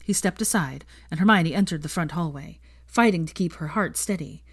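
A woman reading fiction aloud as an audiobook narrator, measured and gentle in her storytelling. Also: deep voice, breathy edge, no echo, clean studio sound. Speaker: audiobook reader of fan fiction.

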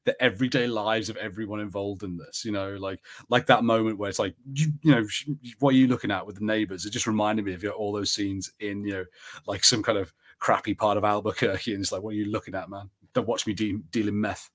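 A man chatting casually as a podcast host, rambling conversationally with halting, restarted sentences. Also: fairly low pitch, fairly even intonation. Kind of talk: casual chat.

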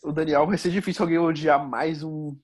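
A man co-hosting a casual podcast among friends, playful and quick to interject. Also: a little high, fairly even intonation. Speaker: podcast co-host.

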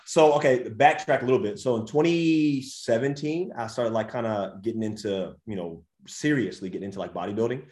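A man in his twenties with an American accent, a vegan coach telling his story as an interview guest in a lively, slangy way. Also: mid-range voice, fairly quick, few pauses, reverberant. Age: 20s. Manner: animated storyteller, slangy, says dude and bro. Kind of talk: casual podcast interview.

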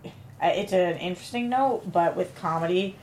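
Female panelist, slightly low voice, casual, a little background noise. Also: normal speed, a little mellow, low-quality recording.

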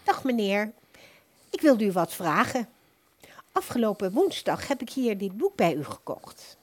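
A woman's voice: medium pitch, sing-song, unhurried. An actress playing an older, thrifty and easily puzzled woman customer in a radio play, polite, hesitant and rambling.

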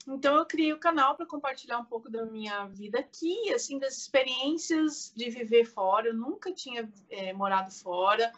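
Female guest, forties, southern Brazilian accent, chatty, very bright tone, slightly dull audio. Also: on the high side, normal speed, few pauses. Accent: southern Brazilian Portuguese. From Curitiba, Brazil. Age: 40s. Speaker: interview guest, content creator. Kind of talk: casual chat.

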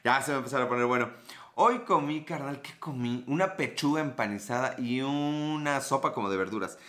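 A man's voice: medium pitch, brisk, bright, forceful tone. A Mexican man with a Mexican accent hosting a casual livestream, greeting viewers by name and joking with profanity and sarcasm. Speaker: livestream host.

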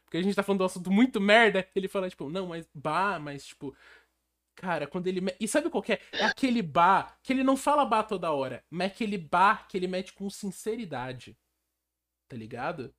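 A man's voice: high voice, lively intonation, a little fast, a bit bright. Crude joking Brazilian man. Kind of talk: casual chat.

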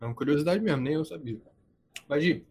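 A man's voice: fairly high pitch, quick pace, background noise. A man of twenty hosting a casual podcast from home, joking and teasing his friends. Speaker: podcast host.

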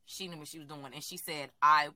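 A woman co-hosting a podcast in casual chat, animated and emphatic, swearing freely. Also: low-pitched, brisk, no room sound. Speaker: podcast co-host.

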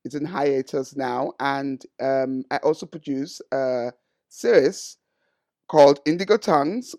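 Gravelly woman teasing her co-host. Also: a bass voice, no room sound, clean studio sound. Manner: teasing, laughing, animated. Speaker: podcast co-host. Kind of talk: casual podcast chat.

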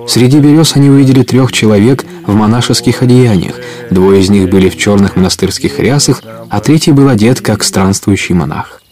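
A man's voice: fairly low pitch, a little fast, a little mellow, soft background music. A man narrating a story for a Russian audio play in a scripted storytelling style.

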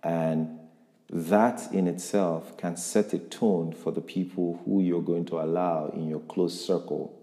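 A man's voice: sits low, mellow. A man interviewing a guest on a podcast, speaking reflectively and motivationally, with frequent restarts. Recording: echoey room with long reverb.

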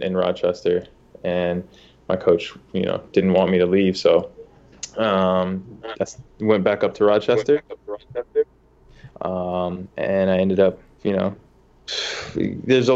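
A man's voice: deep voice, fairly even intonation, unhurried, darkish tone, highs cut off. A young man from the United States, a soccer goalkeeper, telling his story in a casual interview with many fillers and restarts.

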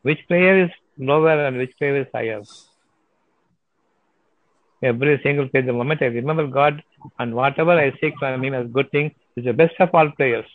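A man's voice: mid-range voice, moderate pace, no echo. Man with a tinny, phone-like sound. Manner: emphatic, repeats phrases, rhetorical questions. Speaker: speaker answering audience questions on faith. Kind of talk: question and answer session.

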